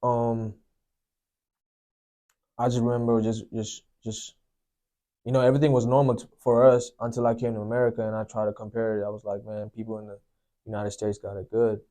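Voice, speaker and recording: A young man from Sri Lanka, a guest telling his life story in a soft, unhurried way, often filling with "you know, man". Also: fairly low pitch, little pitch movement. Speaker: guest sharing testimony. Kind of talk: personal testimony, casual storytelling.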